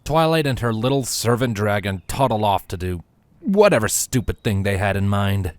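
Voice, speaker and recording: A man narrating a scripted story in a dramatic, expressive storytelling manner. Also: lowish voice, moderate pace, dry recording.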